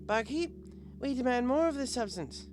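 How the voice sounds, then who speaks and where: Male narrator as regal pony princess, high raised pitch, excitable, commanding old-fashioned speech, storybook reading, music behind. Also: moderate pace. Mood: tipsy, eager. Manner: commanding, archaic royal speech with the royal we.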